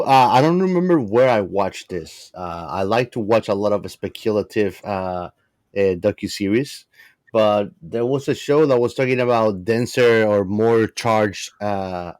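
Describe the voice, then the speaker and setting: A man's voice: lowish voice, normal speed, a little mellow, no room sound. A man co-hosting a podcast in casual discussion, working his way to the point in roundabout phrases. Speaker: podcast co-host.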